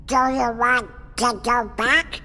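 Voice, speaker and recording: A man's voice: very high-pitched, little pitch movement, slow pace, pushed and bright, heavily acted. A man voicing a hot-tempered male cartoon duck in a video game, with a slurred, buzzy, quacking squawk. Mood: worried. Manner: blustery, emphatic. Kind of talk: video game cutscene voice acting. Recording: long hall reverb, music behind.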